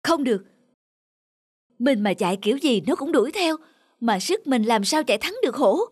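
A man voicing a small, nervous, quick-witted turtle in an animal fable cartoon. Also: extremely high pitch, lively intonation, breathy edge, dry recording, clean studio sound, heavily acted. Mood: panicked.

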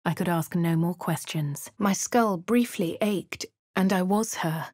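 A composed young adventurer with an upper-class English accent. She narrates her own deeds in a measured, clipped manner, slightly low in pitch. The studio sound is dry and close. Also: a little mellow, no room sound. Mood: calm narration. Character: posh young adult woman adventurer, composed. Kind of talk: game character narrating her actions.

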